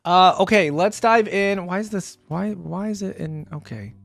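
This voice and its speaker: A man's voice: sits high, moderate pace, gravelly, fairly bright tone, no echo. A man giving casual reaction commentary as a host, talking informally and thinking aloud as he goes.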